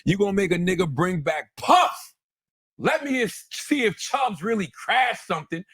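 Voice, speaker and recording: A man with a voice on the higher side talks in a lively, casual group discussion. He tells things emphatically, doubles back on phrases and swears easily, recorded close and very dry. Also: moderate pace, husky, no echo. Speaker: show host.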